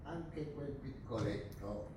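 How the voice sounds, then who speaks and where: A man's voice: mid-range voice, takes it slowly, natural voice. A male stage actor playing a menacing, boastful army captain of a dictatorship, pompous and scornful in a theatrical, declamatory way. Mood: mocking. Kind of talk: theatrical stage drama acting. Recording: very echoey distant stage recording, noisy.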